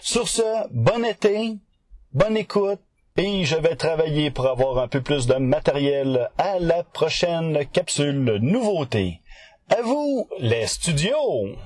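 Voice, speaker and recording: A man's voice: on the high side, expressive, slow pace, a little mellow, dry recording, studio quality. A man giving a casual podcast segment, speaking hesitantly as he searches for words. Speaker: podcast contributor presenting news segment.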